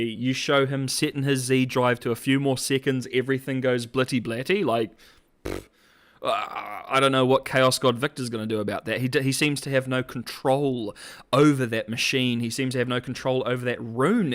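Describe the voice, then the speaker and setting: A young man hosting a casual livestream, talking in a loose, rambling way. He fills his sentences with "like" and "uh" and breaks into laughter often. His voice sits at an ordinary middle pitch for a man. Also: moderate pace. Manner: animated, laughs often, filler-heavy rambling. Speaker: host.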